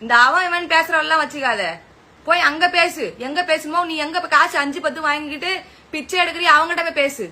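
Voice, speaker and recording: A woman's voice: sits high, fairly even intonation, quick pace, pushed and bright. A woman with a colloquial Tamil Nadu accent in an angry informal rant, scolding and threatening someone abusively.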